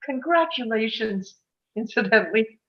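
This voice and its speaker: Higher-voiced, wistful lovelorn actress as a small-town woman. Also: expressive, moderate pace, highs cut off, natural voice. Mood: tearful, wistful. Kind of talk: table read acting.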